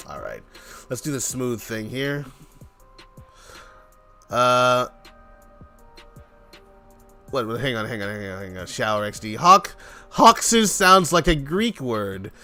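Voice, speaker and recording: Animated man rambling on a livestream. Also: medium pitch, slow, long pauses. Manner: joking, playful, acts out little imagined exchanges. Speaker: indie game developer hosting a live stream. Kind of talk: casual rambling livestream chat. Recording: music under the voice. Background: background music.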